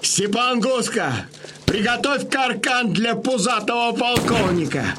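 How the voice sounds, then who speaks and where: A man's voice: extremely high pitch, expressive, a little slow, background noise. An actor playing a fierce Cossack fighter who leads his men in an audio drama, projecting with urgency. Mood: urgent, excited. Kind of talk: theatrical audio drama battle acting.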